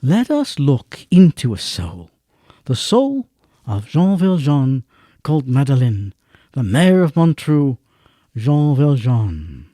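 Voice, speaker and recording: An actor playing a grave storyteller, narrating a radio drama in measured, declamatory phrases. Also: male voice, mid-range voice, sing-song, dark tone, no echo, clean studio sound. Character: grave literary storyteller narrating a moral tale.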